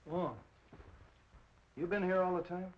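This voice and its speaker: Brusque, bullying 1930s police captain. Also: male voice, high voice, fairly even intonation, unhurried. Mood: brisk, commanding. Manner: curt, interrogating. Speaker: film actor. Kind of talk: 1930s film acting. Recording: dull 1930s film soundtrack.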